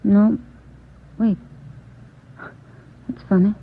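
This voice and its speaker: An actress playing a helpful, professional doctor's nurse in a 1940s radio drama. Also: female voice, mid-range voice, level tone, drawn-out words. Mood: helpful, puzzled. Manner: polite, professional. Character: polite, helpful female doctor's receptionist nurse. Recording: tinny, narrow-band 1940s radio recording.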